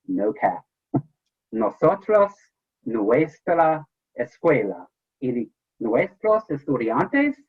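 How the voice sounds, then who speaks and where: A man hosting an interview as a teacher, with a husky voice and an enthusiastic, show-style delivery. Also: mid-range voice. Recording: tinny, phone-like call audio.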